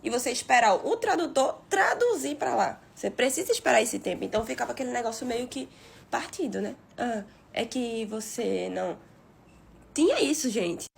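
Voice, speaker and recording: A woman, talking casually and defensively to her followers, blunt and expressive. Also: fairly high pitch, normal speed, vocal fry, husky. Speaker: reality show participant. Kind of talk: casual social media video.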